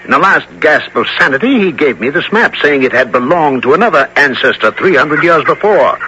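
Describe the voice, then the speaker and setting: Lively seasoned explorer, noisy old radio. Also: male voice, a little high, a little fast. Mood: musing. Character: seasoned, wry male explorer and big game hunter. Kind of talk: 1970s radio adventure acting and narration. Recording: noisy 1970s radio broadcast recording.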